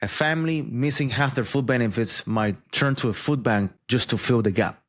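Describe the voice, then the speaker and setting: A man talks through the day's news in a loose, conversational way. He adds asides, fillers and repeats words as he goes, in an even, mid-pitched voice. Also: moderate pace. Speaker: news commentator. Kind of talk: casual news commentary.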